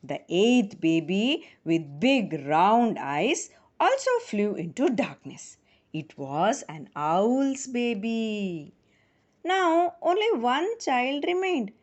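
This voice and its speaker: A woman narrating a children's bedtime story in a deliberate, theatrical manner. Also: a little high, sing-song. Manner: deliberate, theatrical, with acted sound effects.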